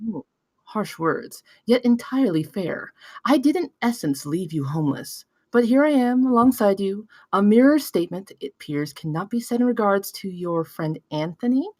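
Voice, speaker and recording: A woman's voice: medium pitch, animated, moderate pace, a little mellow, no echo, natural voice. Woman as a smug, theatrical tycoon villain. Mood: gloating. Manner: theatrical, mock-genteel, formal. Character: smug, menacing, well-spoken male business tycoon villain. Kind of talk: screenplay table read.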